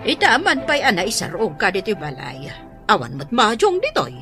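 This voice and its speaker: A woman's voice: medium pitch, huge pitch swings, normal speed, loud music behind the voice. A Filipino woman with an Ilocano accent playing a worried, stern, protective mother in a radio drama. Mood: exasperated.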